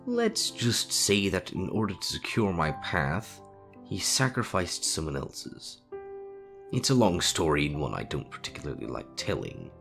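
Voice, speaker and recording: A man voicing a calm, wise older wizard headmaster in a story reading, measured and reflective. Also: sits low, creaky, faint music behind, exaggerated voice. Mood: grave. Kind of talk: dramatic fan fiction audio reading.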